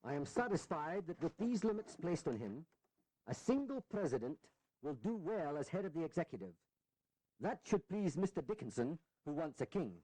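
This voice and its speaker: Casting: genial colonial legislator, measured explainer, high voice with very animated melody, period radio play. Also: male voice, dry recording, low-quality recording. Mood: satisfied. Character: genial, orderly founding-era statesman explaining his plan. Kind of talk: historical audio drama acting.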